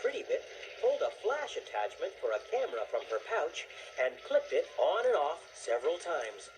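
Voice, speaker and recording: A woman reading a scripted children's story as narrator, in an expressive storytelling manner. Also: sits low, moderate pace, background noise.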